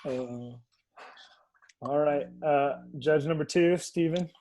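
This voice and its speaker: A man hosting a casual video-call chat about a music challenge, laid-back, filling pauses with ums and laughing often. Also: a little high, dark tone, a little hiss or hum. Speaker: host of a music remix challenge.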